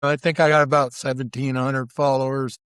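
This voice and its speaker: A man's voice: medium pitch, flat delivery, fairly quick, a bit bright, smooth, dry recording, studio quality. A man chatting casually as an interview guest, with a folksy, colloquial, enthusiastic manner. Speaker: interview guest, barbecue cook.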